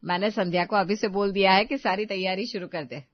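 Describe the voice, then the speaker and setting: A woman's voice: mid-range voice, fairly even intonation, brisk, no echo, lo-fi sound, close to an everyday voice. A woman playing a caring, plain-spoken middle-aged mother in a Hindi moral story cartoon. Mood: reassuring.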